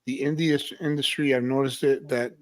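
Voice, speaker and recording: A man's voice: mid-range voice, steady tone, fairly quick. A man hosting a casual interview show, emphatic, repeating his points and checking in with listeners. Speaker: show host.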